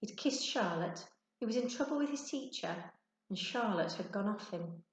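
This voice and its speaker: A woman giving an expressive children's storybook narration, like a teacher reading to her class. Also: mid-range voice, moderate pace. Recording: echoey untreated room.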